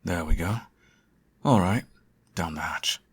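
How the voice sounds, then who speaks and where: A man playing a sardonic, theatrical supervillain who fusses over his nemesis in an ASMR audio roleplay, his voice slightly husky. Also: low voice, unhurried, fairly bright tone, heavily acted. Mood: caring, fussing. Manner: theatrical, teasing, sarcastic.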